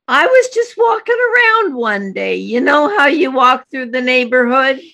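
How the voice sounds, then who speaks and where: An older woman from the United States, a guest telling an anecdote in an unhurried way with light laughs. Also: high-pitched, lively intonation, creaky, sharp tone. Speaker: guest, co-founder of an institute. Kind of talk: storytelling in conversation.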